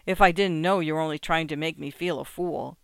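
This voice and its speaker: A man's voice: on the high side, steady tone, brisk, gravelly, clean tone, no room sound. A man voicing an earnest, proud little secretary in a short story, a nervous woman who sounds wounded and haughty. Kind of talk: acted literary short story.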